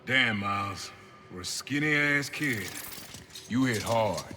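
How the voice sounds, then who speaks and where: An actor playing a gruff, protective middle-aged uncle with a terse, streetwise way of talking, in a dramatic video game scene. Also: male voice, mid-range voice, animated, slow, sharp tone, soft background music. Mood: wry. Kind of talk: dramatic game cutscene acting. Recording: reverberant space, faint noise.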